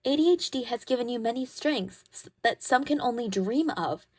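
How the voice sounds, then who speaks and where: A woman playing a caring, protective teenage girl who comforts her best friend in an ASMR roleplay, soothing and gently bossy as she talks. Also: a little high, expressive, normal speed. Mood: encouraging, reassuring. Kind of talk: ASMR comfort roleplay, one-sided conversation.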